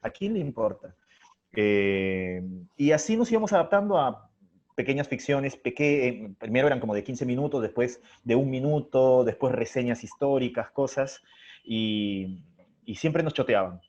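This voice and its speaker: A man's voice: medium pitch, expressive, moderate pace, darkish tone. Reflective Peruvian man, dull lo-fi sound. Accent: Peruvian Spanish. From Chiclayo, Peru. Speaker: theatre artist and interview guest. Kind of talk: conversational interview answers. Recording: dull, lo-fi audio.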